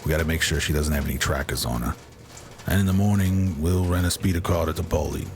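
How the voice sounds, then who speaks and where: A man playing a gruff, blunt, exasperated single father in a sci-fi audio drama. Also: rumbling low, fast talker, darkish tone. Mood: hushed, urgent. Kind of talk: amateur radio drama acting.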